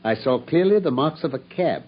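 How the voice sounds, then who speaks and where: An actor playing a confident Victorian detective with a British accent, precise and lecturing, in a radio drama. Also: male voice, medium pitch, expressive, moderate pace, breathy edge. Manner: precise, lecturing, self-assured. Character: confident, analytical male Victorian detective explaining his methods. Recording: dull, muffled radio drama recording.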